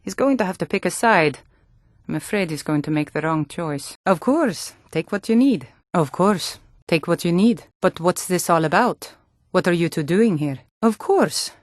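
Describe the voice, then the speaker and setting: An earnest, protective Nordic townswoman out of a fantasy video game, acted by a woman. Her voice sits on the low side, with an emphatic, plain-spoken way of talking. The sound is close and dry, with no room to it. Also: expressive, moderate pace, no room sound, close to an everyday voice. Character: earnest, protective Nord townswoman, no longer young, loyal to rebels.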